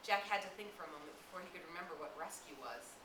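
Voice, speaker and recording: A woman's voice: fairly low pitch, lively intonation, quick pace. A woman reading a novel aloud, sometimes stumbling and repeating words. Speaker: audiobook-style reader. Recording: roomy, distant mic, clear hiss.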